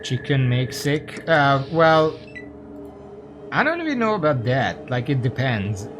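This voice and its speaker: A man's voice: medium pitch, big pitch swings, measured pace, noisy background. A man from Hungary, giving casual, rambling, sweary live commentary as he streams a game and chats with viewers.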